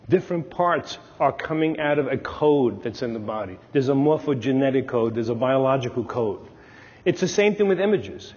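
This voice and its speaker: Middle-aged New man, analyst telling stories at a podium; breathy, a bit high, dull lo-fi sound in a reverberant hall. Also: darkish tone. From Brooklyn, New York. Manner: storytelling, emphatic, gestures with his hands. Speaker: Jungian analyst giving a talk. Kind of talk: conference talk at a podium. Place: large dark stage, lecture hall. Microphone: clip-on lapel mic. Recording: lo-fi recording with long hall reverb.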